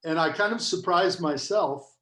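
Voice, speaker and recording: A man, a missionary priest, telling stories in a relaxed way on a video call. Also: high voice, normal speed, roomy sound. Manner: relaxed anecdotal storytelling. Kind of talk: casual conversation on a video call.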